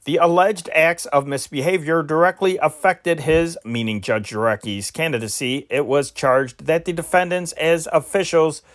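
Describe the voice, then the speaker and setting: Man reading old news, slightly high voice. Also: normal speed, hardly any pauses, fairly bright tone, no echo, studio quality. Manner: plain amateur reading with occasional slips and restarts. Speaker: news reader. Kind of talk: reading old newspaper stories aloud.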